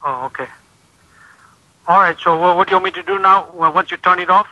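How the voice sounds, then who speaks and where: Nervy-sounding guy on a phone call, super sharp tone, kinda high, stammers and repeats himself. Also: a little fast, no room sound. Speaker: prank call victim answering the phone at work. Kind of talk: unscripted phone conversation. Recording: telephone line audio.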